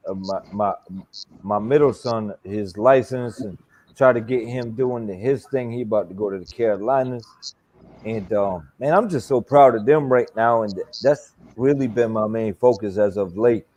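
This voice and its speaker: A man's voice: lowish voice, normal speed, darkish tone. A man in his forties telling stories in a loose, laughing way, swearing freely in casual chat. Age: 40s.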